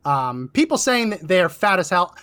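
Bright-voiced man co-hosting, losing his voice. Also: high-pitched, moderate pace, clean tone. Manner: animated, laughs often. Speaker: co-host. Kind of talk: casual political commentary.

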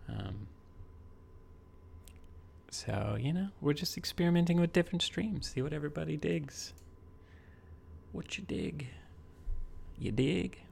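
A man chatting softly and casually on a live stream while making music, rambling with lots of fillers. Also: animated, measured pace, gravelly, long pauses, a little hiss or hum. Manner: soft, low-key, rambling with many fillers.

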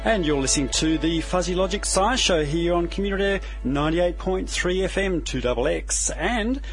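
A man's voice: high voice, animated, brisk, over music. A man hosting a community radio science show, chatty and casual as he interviews guests.

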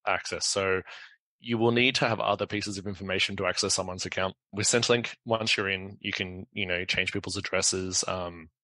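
Man, low flat croaky voice, hesitant explainer, dry recording. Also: no echo, low-quality recording. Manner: halting, many restarts and fillers. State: croaky from a cold. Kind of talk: casual explanatory discussion.

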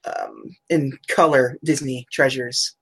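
A woman's voice: very deep voice, fairly even intonation, slow, fairly bright tone, husky. Chatty woman who laughs a lot. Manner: chatty, laughs often, looks things up aloud. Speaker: podcast co-host. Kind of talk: casual chat about old cartoons.

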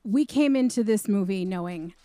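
A woman's voice: medium pitch, expressive, normal speed, dark tone, dry recording, studio quality. A woman co-hosting a casual movie review podcast, speaking directly and emphatically. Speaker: podcast co-host.

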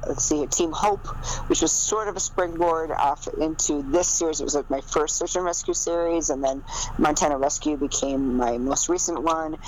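A woman's voice: low-pitched, normal speed, a little mellow, low-quality recording. Chatty American woman, rambling storyteller. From American Midwest. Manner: chatty, rambling, self-correcting. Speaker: guest, romantic suspense novelist. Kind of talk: casual interview chat. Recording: faint background noise.

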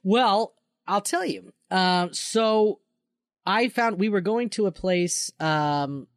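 An American man in his forties chatting casually as a podcast co-host, in an excitable, jokey, sweary way. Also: high-pitched, takes it slowly, dry recording, clean studio sound. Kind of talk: casual chat.

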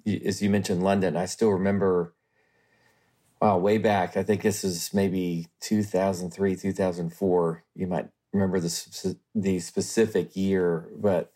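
A man's voice: sits low, flat delivery, a little slow, dark tone. A man co-hosting a podcast interview, reminiscing and asking friendly questions. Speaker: co-host, founder. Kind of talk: casual interview.

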